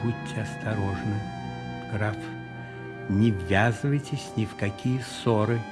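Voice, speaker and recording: An actor playing a cold, calculating cardinal and spymaster in a radio play, deliberate and commanding, with savouring pauses. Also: male voice, lowish voice, fairly even intonation, over music.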